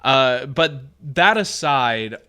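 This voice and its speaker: A man's voice: medium pitch, expressive, drawn-out words, pushed and bright, clear, resonant tone. A young adult man co-hosting a casual talk podcast, thinking aloud with many restarts and fillers. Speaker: podcast co-host.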